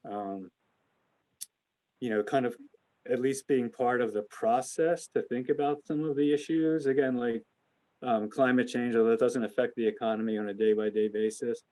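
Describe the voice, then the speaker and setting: A man hosting an investment conference call, speaking off the cuff in a halting, hedging, conversational way. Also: mid-range voice, fairly even intonation, dark tone. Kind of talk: unscripted conference call remarks.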